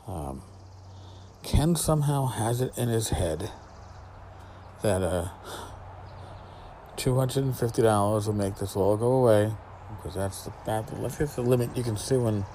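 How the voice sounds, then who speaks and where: A man's voice: fairly low pitch, mellow, slight background noise. A man hosting a casual solo podcast from a back porch outdoors, talking in a blunt, wry, salty way. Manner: blunt, profane, wry commentary. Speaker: podcast host.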